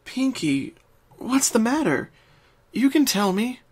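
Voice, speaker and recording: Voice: mid-range voice, big pitch swings, slow pace, darkish tone, pitched above the performer's own voice. A performer voicing a caring, bookish young female unicorn pony who speaks earnestly and precisely in a cartoon fan reading. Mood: gently probing. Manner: concerned, precise, articulate.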